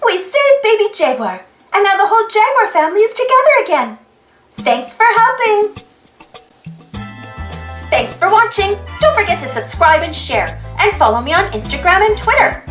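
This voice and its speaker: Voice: extremely high pitch, very animated, moderate pace, a bit bright, echoey room, soft background music. A storybook reader with an exclaiming manner, reading aloud for children and prompting young listeners with questions. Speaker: storybook reader and channel host.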